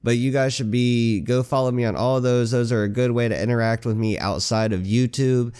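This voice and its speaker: A man with a raspy voice from a cold, reading a horror story aloud as a channel narrator in a slow, grim way. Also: mid-range voice, steady tone, darkish tone. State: hoarse with a cold. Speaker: creepypasta narrator.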